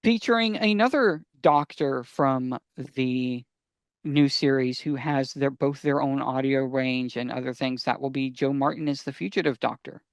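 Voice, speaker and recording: Clear-voiced man, eager podcast co-host. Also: medium pitch, measured pace, no echo. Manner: eager, explanatory, asks and answers own questions. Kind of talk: casual podcast talk, giving recommendations.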